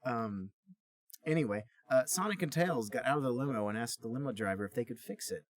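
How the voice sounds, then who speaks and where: A man's voice: medium pitch, animated, fairly quick, crowd noise. A man co-hosting a podcast, reading a story aloud in a mock-serious, dramatic comic style. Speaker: podcast co-host and reader.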